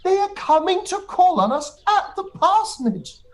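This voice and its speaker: A woman playing a flustered, obsequious and excitable period character in a radio play, breathless and fussy. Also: very high-pitched, moderate pace. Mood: breathless urgency. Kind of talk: radio play live read.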